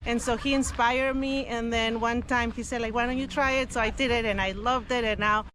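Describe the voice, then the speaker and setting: A woman from Mexico talks warmly through her running story, voice on the higher side for a woman. She is chatty and earnest, leaning on fillers as she goes. A faint hum sits behind her. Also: fairly even intonation, brisk, low-quality recording. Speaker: runner interviewed at a race. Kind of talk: casual interview. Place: outdoors at a race event.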